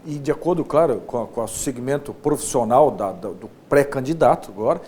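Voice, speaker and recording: A Brazilian man hosting a casual interview, phrasing his questions in a halting, repetitive way with frequent checking tags. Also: lively intonation, a little mellow. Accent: Brazilian Portuguese. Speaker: interview host, state deputy. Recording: faint rumbling background noise.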